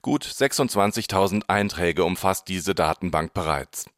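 A man's voice: sits low, lively intonation, normal speed, fairly bright tone, dry recording, clean studio sound. A man narrating a scripted radio report as the reporter.

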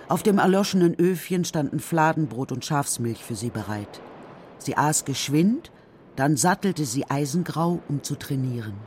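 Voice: medium pitch, a little mellow, slight background noise. A narrator telling a children's story in a scripted radio drama style.

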